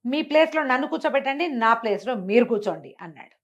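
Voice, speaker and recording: A woman's voice: fairly high pitch, expressive, fast. A woman storyteller. She narrates a moral fable expressively, acting out the exchanges and putting questions to her listener as she explains.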